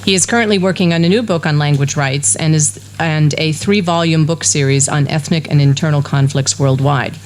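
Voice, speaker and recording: A woman with a low voice chairs a university conference session. She reads a prepared introduction in a formal tone. Faint noise sits under the recording. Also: fairly quick, hardly any pauses. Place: university lecture hall.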